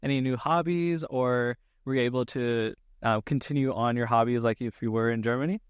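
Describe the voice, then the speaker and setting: A man's voice: mid-range voice, brisk, clean tone, dry recording. A man hosting a casual conversation, talking in meandering, thoughtful phrases. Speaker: podcast host. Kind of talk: casual interview chat.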